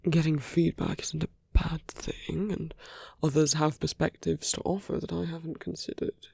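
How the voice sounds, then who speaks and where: Man acting a breathy, suave villain. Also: a little high, flat delivery, mellow, dry recording, studio quality. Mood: teasing, probing. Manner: measured, rhetorical, lapsing into breathy near-whispers. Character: suave male villain, persuasive, teasing, self-justifying. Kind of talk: ASMR roleplay audio drama.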